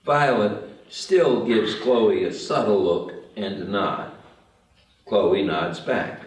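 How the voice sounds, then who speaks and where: A man's voice: lowish voice, takes it slowly. A man giving scripted narration of stage directions at a table read, in an echoey room. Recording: very echoey room, distant microphone.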